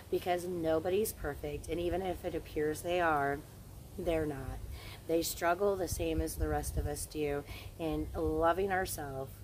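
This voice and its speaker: A woman's voice: sits low, slight background noise. A woman in her forties talking frankly and casually to a handheld phone camera outdoors in the woods. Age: 40s. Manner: frank, relaxed, chatty. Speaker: witchcraft channel host. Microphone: handheld phone camera at arm's length.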